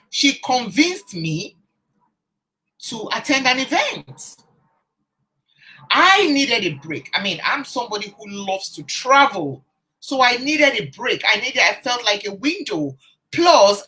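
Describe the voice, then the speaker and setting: A woman's voice: on the high side, sharp tone, low-quality recording. A woman confidence coach telling a story on a casual live stream, chatting to viewers and restarting her phrases as she goes. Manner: chatty, greets viewers by name, frequent restarts. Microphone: phone or webcam on a live stream.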